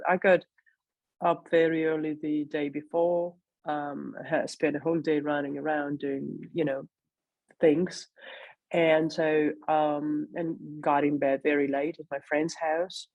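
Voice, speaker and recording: A woman from Ukraine with a Russian accent, a guest in a conversational interview, thoughtful and pausing to search for words. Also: low-pitched, fairly even intonation, a little mellow, dry recording, lo-fi sound.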